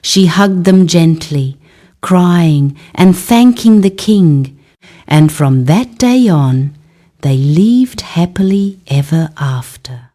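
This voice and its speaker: A woman reads a children's bedtime fairy tale in a gentle, mellow voice. She takes her time over short phrases, letting the pitch rise and fall in a lively, storybook way. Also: slow. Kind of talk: scripted fairy-tale narration for young children.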